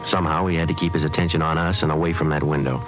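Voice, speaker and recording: Fast, low-voiced American detective. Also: male voice, music in the background. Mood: deadpan, tense. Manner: deadpan, clipped short sentences. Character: terse, level-headed male police detective who narrates. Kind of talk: 1950s radio police drama, clipped narration.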